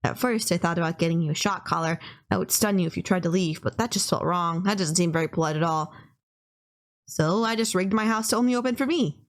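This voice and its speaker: A woman's voice: fairly low pitch, quick pace, natural voice. A woman playing a polite, gushing young female kidnapper in an intimate anime-style roleplay, earnest, apologetic and rambling, obsessively in love. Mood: earnest, fussing.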